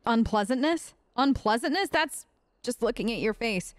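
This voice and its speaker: A woman's voice: on the high side, lively intonation, normal speed, smooth, dry recording. A woman improvising in character for a game let's play, sarcastic and defiant, with casual modern phrasing. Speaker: role-play let's-player.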